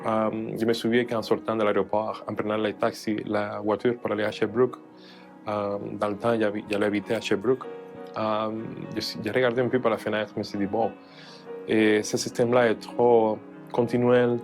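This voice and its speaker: A man from Cuba, a jazz pianist, speaking French as an in an interview, hesitant with many fillers and restarts. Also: lowish voice. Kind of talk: interview testimony.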